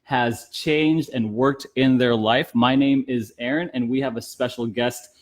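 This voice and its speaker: A man's voice: mid-range voice, steady tone, unhurried. A man hosting an interview podcast in a casual, chatty conversational style.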